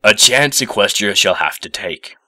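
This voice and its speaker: A man voicing a stiff male professor pony with a gruff, formal, slightly sheepish manner in a storybook reading. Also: fairly low pitch, animated, normal speed, pushed and bright, no echo. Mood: solemn.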